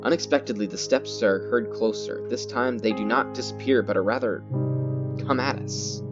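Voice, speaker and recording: A man reading horror game text aloud in a theatrical, halting way, repeating words and adding his own comments. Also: medium pitch. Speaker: gaming video host. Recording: dull lo-fi sound with loud music. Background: loud guitar music.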